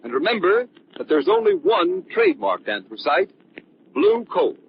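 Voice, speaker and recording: A male announcer reads a script in 1930s radio manner. His voice is fairly high with dramatic swings in pitch. The sound is dull, with faint noise. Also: huge pitch swings, normal speed. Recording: muffled, noisy 1930s radio recording.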